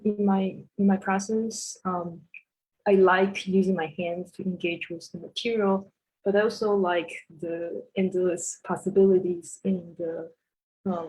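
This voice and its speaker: A woman's voice: lowish voice, a little mellow, husky, poor audio. A woman from Taiwan presenting her sculpture work on a video call, talking hesitantly with many fillers. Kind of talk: casual presentation.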